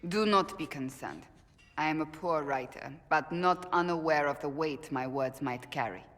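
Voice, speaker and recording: Grave warrior in a reverberant hall. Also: female voice, low-pitched, fairly bright tone, faint music behind. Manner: formal, grave, deliberate wording. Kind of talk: dramatic video game acting.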